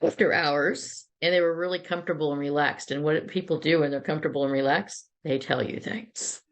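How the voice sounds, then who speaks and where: Middle-aged woman guest, low gravelly voice, chatty storyteller. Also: fairly even intonation, a little fast. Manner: chatty, many restarts and asides. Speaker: interview guest, PR agency founder. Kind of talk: casual interview storytelling.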